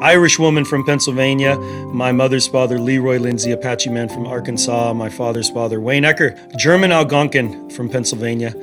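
A man from the United States hosting a spiritual podcast, speaking in an earnest, heartfelt way with many pauses and fillers. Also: mid-range voice, steady tone, over music. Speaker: podcast host.

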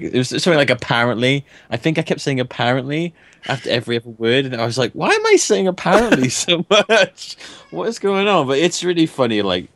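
A man's voice: mid-range voice, fairly bright tone, no echo. A man co-hosting a casual group podcast, rambling with many restarts. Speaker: podcast co-host.

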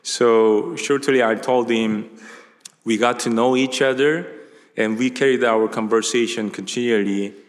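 A man in his fifties from South Korea with a Korean accent, preaching a relaxed, conversational sermon with many filler words. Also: mid-range voice, a little slow. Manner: relaxed, conversational, frequent uh and you know fillers. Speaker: pastor. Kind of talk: sermon with personal storytelling. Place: large church sanctuary at a pulpit. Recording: long hall reverb.

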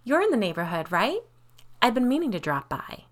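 A woman voicing an uncanny, sinister woman in a spooky story, calm, mocking and ominous. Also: a little high, normal speed, pitched above the performer's own voice. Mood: mock friendly. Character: sinister hooded woman, coolly taunting, uncanny impostor.

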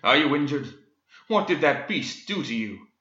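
A man's voice: mid-range voice, moderate pace, pushed and bright, natural voice. A man voicing a dashing, humble groundskeeper in a melodramatic romance, earnest, florid and pleading. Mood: alarmed concern. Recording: roomy, slightly dull recording.

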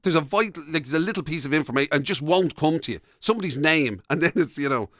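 An Irish man co-hosting a radio show in casual comic banter, halting and questioning as he talks. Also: fairly high pitch, lively intonation, a bit bright, dry recording, studio quality.

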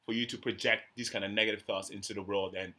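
A young Canadian man hosting a podcast, talking emphatically in casual conversation with a naturally loud voice. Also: lowish voice, fairly even intonation, fast. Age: young adult. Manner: emphatic, says he has a loud voice. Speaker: podcast host.

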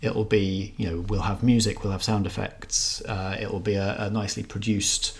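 A man's voice: fairly low pitch, flat delivery, normal speed. A man co-hosting an arts podcast, explaining things in casual chat with dry humour. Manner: explanatory, dryly humorous. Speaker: arts podcast co-host and film reviewer.

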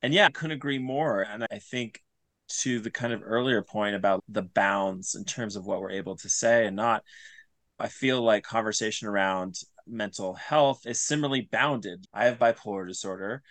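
Informal man, halting, in dry close sound. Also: fairly low pitch, a bit bright, no room sound. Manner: informal, halting, searching for words, frequent "like". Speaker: podcast guest, writer and audio creator. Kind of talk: reflective interview conversation.

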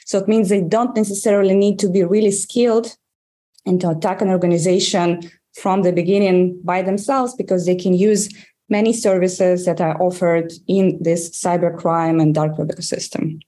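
A woman's voice: lowish voice, steady tone, dark tone. Russian-accented woman explaining carefully. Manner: measured, explanatory, with frequent "uh" pauses. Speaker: guest, threat research director. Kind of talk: interview answers.

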